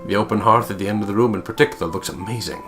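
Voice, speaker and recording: A man with a lowish voice narrates a visual novel for a let's play. His reading is playful and theatrical, peppered with swearing, with faint music underneath. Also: racing, fairly bright tone. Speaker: let's player reading the game aloud.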